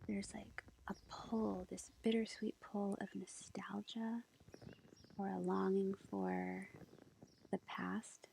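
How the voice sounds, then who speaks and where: A woman playing a sweet, gentle witch girlfriend, reading tarot in a soft, reassuring ASMR whisper. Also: mid-range voice. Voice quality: soft, breathy, creaky whisper. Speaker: ASMR roleplay performer. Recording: faint background noise, lo-fi.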